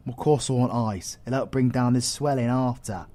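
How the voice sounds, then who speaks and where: A man's voice: mid-range voice, moderate pace, warm tone, close to an everyday voice. A British man playing a cocky, foul-mouthed supervillain in an audio roleplay, crude, teasing and sarcastic. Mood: gruff, bossy. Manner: crude, teasing, sarcastic, heavy slangy swearing. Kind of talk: audio roleplay acting addressed to the listener.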